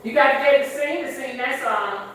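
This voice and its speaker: A woman with a soft but hoarse, congested voice, chatting casually and jokingly to her viewers as a spiritual reader. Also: sits high, normal speed. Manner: rambling, joking, addresses viewers as y'all. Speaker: rootworker and spiritual reader hosting her channel. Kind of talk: casual chatty livestream talk. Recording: very echoey room, faint background hiss.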